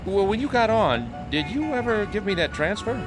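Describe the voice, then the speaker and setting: A folksy bus driver in a radio play. His voice is high and lively, casual and easygoing, with music clearly behind it. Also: moderate pace, poor audio. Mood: casual, doubtful. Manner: casual, calling out stops. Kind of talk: radio drama acting.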